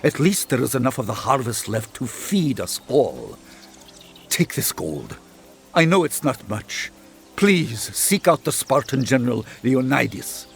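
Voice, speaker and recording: An actor playing an earnest, weary village leader in a video game, speaking imploringly. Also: male voice, medium pitch, very animated, measured pace, creaky, soft background music. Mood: grateful, worried. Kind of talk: video game character dialogue.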